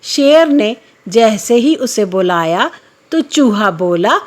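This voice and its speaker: A woman narrating a scripted children's story in a measured, expository way. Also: a little high, expressive, slight background noise. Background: background music.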